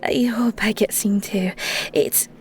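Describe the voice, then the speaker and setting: Woman acting a bashful, slow-talking Scottish shepherdess. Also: mid-range voice, fairly even intonation, a little mellow, lightly acted. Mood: shy, bashful. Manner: bashful, stammering, rambling, giggly. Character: flustered, sweet young shepherdess with a shy crush. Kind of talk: intimate audio roleplay acting.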